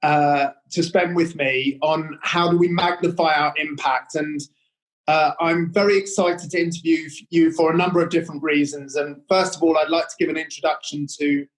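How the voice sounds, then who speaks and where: A man's voice: a little high, steady tone, normal speed, low-quality recording. A man hosting an interview, rambling with many fillers and restarts. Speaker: interview host. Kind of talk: hesitant conversational interview.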